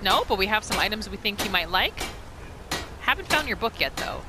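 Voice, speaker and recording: A woman narrating her video game roleplay in an informal, expressive and reactive way. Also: mid-range voice, fairly quick, noisy background. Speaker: gaming roleplay narrator and player. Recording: voice-over with game music behind.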